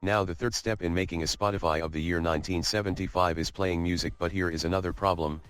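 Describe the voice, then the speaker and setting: A man's voice: deep voice, steady tone, quick pace, fairly bright tone, smooth, no room sound, studio quality, soft background music. A man narrating a scripted comedic coding video in a jokey, mock-boastful way.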